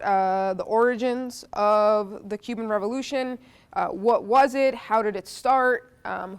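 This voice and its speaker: A woman's voice: a little high, clean tone. A woman from New Jersey giving a chatty, interactive history lecture to a class, with a stuffy nose from allergies and frequent "um"s. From New Jersey, United States. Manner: chatty, asks the audience questions, frequent "uh" and "um".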